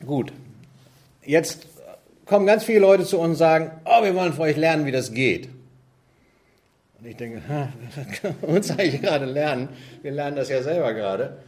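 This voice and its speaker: A man's voice: fairly high pitch, moderate pace, darkish tone. A man speaking in a church setting, earnest and conversational, often asking rhetorical questions and adding "nicht?". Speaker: church team leader. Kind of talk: church talk.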